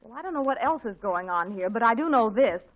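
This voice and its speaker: A woman playing a patient, dry-witted mother in a 1940s radio comedy, with a deadpan, teasing manner. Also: a little high, fairly quick, lightly acted. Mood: wry. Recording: muffled 1940s radio recording.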